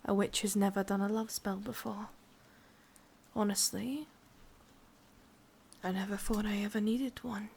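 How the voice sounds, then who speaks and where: A woman with an English accent voicing an ancient, lonely, sadistic witch in a breathy half-whispered ASMR roleplay, speaking slowly with drawn-out pauses. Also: mid-range voice, fairly even intonation, a little mellow, slight background noise. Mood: wistful. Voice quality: breathy, often slipping into whisper. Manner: slow, halting, drawn-out delivery with pauses.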